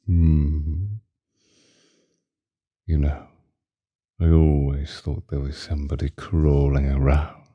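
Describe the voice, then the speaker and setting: Man as ancient male vampire, ASMR roleplay, rock-bottom pitch, husky breathy murmur, possessive, theatrical, languid, close dry mic. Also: no room sound, lo-fi sound, exaggerated voice. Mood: mocking, amused, then sly, brooding. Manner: languid, archaic, theatrical, with lingering pauses. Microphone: close microphone, dry.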